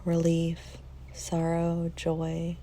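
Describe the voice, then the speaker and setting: A woman leading a guided meditation in a soft, soothing way, leaving long pauses between phrases. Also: fairly low pitch, monotone, a little hiss or hum.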